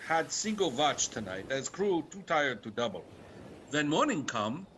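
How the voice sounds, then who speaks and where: A man acts out a radio-play sea captain, slow and forceful. He is grim and dutiful with fear underneath, speaking in terse log entries over faint noise. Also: on the high side, very animated. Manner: terse, clipped phrasing. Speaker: actor.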